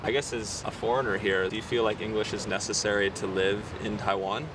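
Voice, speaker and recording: An American man with a fairly low voice interviews guests in a loose, easygoing way. He sits a little away from the microphone. Steady street and traffic noise runs underneath. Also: a little fast. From United States. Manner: relaxed, curious questioning with frequent "like". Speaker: host, English teacher. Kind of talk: casual interview chat. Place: outdoors by a street. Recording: distant mic, loud traffic noise.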